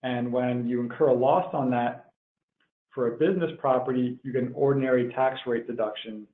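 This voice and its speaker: A man presenting a technical webinar in a measured, explanatory way, as if working through prepared points. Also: medium pitch, a little hiss or hum. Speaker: webinar presenter, tax professional. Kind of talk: explanatory tax webinar lecture. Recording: tinny phone-like line, roomy echo.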